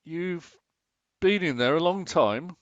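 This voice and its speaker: A male actor as a grumbling yet affectionate husband in a domestic radio play. His delivery is wry and sarcastic, with very lively intonation. The sound is close and dry, a little dull in fidelity. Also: on the high side, slow, dry recording. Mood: coaxing, earnest. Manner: dry, sardonic, exasperated. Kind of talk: naturalistic radio play acting.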